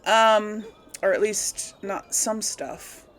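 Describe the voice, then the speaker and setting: A woman's voice: medium pitch, drawn-out words, fairly bright tone, faint music behind. A woman giving casual gameplay commentary, rambling and thinking aloud as she goes. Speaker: let's play host.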